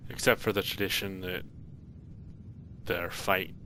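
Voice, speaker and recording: A man's voice: low-pitched, flat delivery, measured pace, pushed and bright. A man giving casual, playful, offhand commentary as he plays through a video game. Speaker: let's play gamer. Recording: clearly noisy background.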